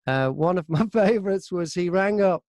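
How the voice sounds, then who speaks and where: A middle-aged British man with a fairly high voice talks casually in an interview. He is a chatty, self-deprecating storyteller with the ease of a radio presenter. The sound is dry, with very little room in it. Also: normal speed, a little mellow, no room sound. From Britain. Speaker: voice-over artist and former radio presenter being interviewed. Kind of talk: casual anecdotal interview chat.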